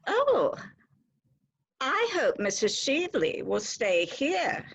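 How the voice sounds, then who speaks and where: A woman's voice: high-pitched, huge pitch swings, drawn-out words, breathy edge, exaggerated voice. A woman playing an earnest, proper Victorian wife and hostess, formal and polite, in a dramatic reading. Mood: polite, reserved. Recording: slightly dull, lo-fi sound.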